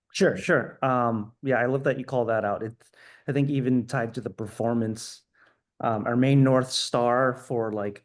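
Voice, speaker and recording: A man answering as a film director at a festival Q&A, thoughtful and full of ums and restarts. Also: mid-range voice.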